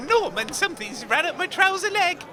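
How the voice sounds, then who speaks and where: A man's voice: very high voice, big pitch swings, brisk. A man playing a daft, scolding working-class woman at a comedy sports event, fussy and indignant. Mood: startled. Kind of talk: comedy sketch acting. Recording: outdoor crowd noise.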